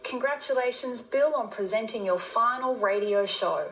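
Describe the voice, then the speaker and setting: A woman's voice: a little high, normal speed, a bit bright, reverberant, slight background noise, low-quality recording. A woman with an Australian accent, a singer-songwriter delivering a prepared tribute message.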